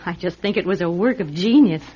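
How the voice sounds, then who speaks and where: A woman acting a nervous female accomplice in a radio drama, with a husky voice. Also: lowish voice, lively intonation, fairly quick, mellow. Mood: pleased. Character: nervous female accomplice feigning grief, eager yet uneasy. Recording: dull, lo-fi radio recording.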